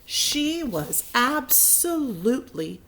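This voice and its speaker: A woman reading a children's picture book aloud with deliberate, emphatic expression. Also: high-pitched, big pitch swings, pushed and bright, low-quality recording. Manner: deliberate, emphatic, acts out characters.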